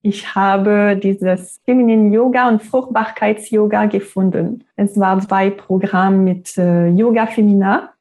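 A woman in her thirties from Quebec, a native French speaker with a Québécois French accent, talking as an interview guest about her yoga work, pausing and restarting as she searches for words. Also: medium pitch, fairly even intonation, a little mellow. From Quebec, Canada. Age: 30s. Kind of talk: interview conversation.

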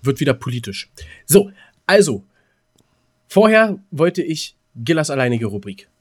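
A man's voice: a little high, big pitch swings, unhurried. A man co-hosting a podcast in casual, joking chat. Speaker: podcast co-host.

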